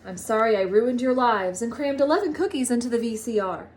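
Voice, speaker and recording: A woman voicing a sweet, excitable grown male Christmas elf in an earnest children's storybook read-aloud. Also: fairly high pitch, a little fast, a little hiss or hum, lightly acted. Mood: sad, earnest. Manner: exclamatory, earnest.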